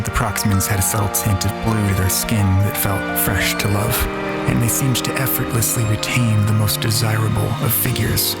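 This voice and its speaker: A man reading first-person sci-fi narration in a brooding, hard-boiled manner. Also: fairly low pitch. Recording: loud music under the voice.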